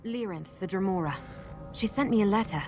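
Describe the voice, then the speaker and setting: An actress playing a guarded, urgent masked woman who protects people from cultists in a fantasy video game, speaking in an earnest, measured way. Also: medium pitch, darkish tone, faint music behind, close to an everyday voice. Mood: urgent, businesslike. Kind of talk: video game character dialogue.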